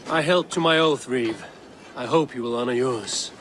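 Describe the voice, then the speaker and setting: A man voicing a resolute Viking warrior leader in a game cutscene, speaking in a firm, measured, commanding way. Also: medium pitch, a bit bright, a little hiss or hum, natural voice. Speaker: game protagonist. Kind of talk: video game cutscene acting. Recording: game audio under a music score.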